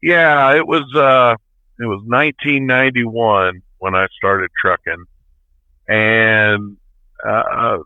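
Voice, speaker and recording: Man, 50s, folksy trucker storyteller, lowish voice, thin phone-like sound. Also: steady tone, takes it slowly, smooth, no echo. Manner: plain-spoken, folksy. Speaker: truck driver, interview guest. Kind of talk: casual storytelling. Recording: tinny phone-like line.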